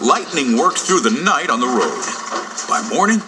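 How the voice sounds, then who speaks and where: A man's voice: fairly high pitch, big pitch swings, normal speed, background noise. A man narrating a scripted read-along storybook for children. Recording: loud music behind the voice.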